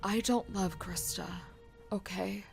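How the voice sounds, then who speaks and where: A woman's voice: medium pitch, slow pace, creaky, faint music behind. A woman playing a protective, devoted young survivor in an audio drama, speaking bluntly and tenderly with intensity. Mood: pleading, intense. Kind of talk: amateur audio drama acting.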